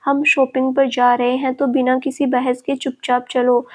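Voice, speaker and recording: A woman voicing a cocky, famous young bachelor man who teases and speaks slowly and deliberately. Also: sits high, little pitch movement. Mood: teasing.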